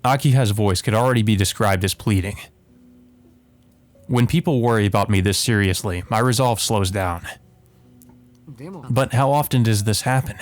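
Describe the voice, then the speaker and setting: A man's voice: on the low side, normal speed, pauses often. A man hosting a let's play, reading visual novel narration aloud and adding casual commentary. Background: music behind the voice.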